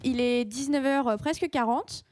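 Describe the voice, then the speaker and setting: A young woman hosts a student radio show in a slightly high voice. She introduces segments and asks simple questions briskly, with a few fillers. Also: darkish tone. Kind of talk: interviewing and announcing on student radio.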